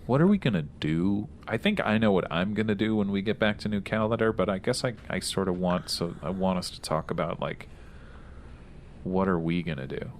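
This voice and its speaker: A man's voice: sits low, a little fast, a little hiss or hum. A man improvising in-character dialogue as a tabletop roleplaying player, earnest and somewhat hesitant.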